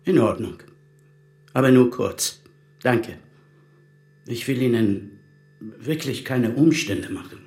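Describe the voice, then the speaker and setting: A man acting a courteous, grave middle-aged exile in a naturalistic radio drama, gravelly and measured. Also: mid-range voice, expressive, a little mellow, echoey room, faint music behind. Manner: polite, measured.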